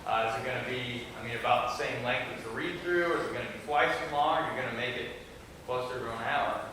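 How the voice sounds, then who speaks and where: A man's voice: medium pitch, fast, sharp tone. Guy in the audience asking a question, casual, way echoey, far from the mic. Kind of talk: informal spontaneous question. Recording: very echoey hall, distant, noisy.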